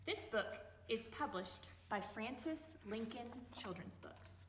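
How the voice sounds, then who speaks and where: A woman reads a children's story aloud in a high, very animated voice. She takes her time, stretching words for suspense in a theatrical way. The room adds a noticeable echo. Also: takes it slowly, slight background noise. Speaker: library storytime host and storyteller. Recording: echoey reverberant room.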